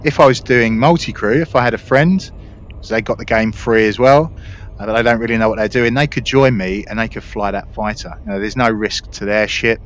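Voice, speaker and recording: A man's voice: fairly low pitch, fairly even intonation, fairly quick. A man giving casual, informal gameplay commentary, explaining things as he plays. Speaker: gaming content creator. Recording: dull mic with background noise. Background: spaceship game sound.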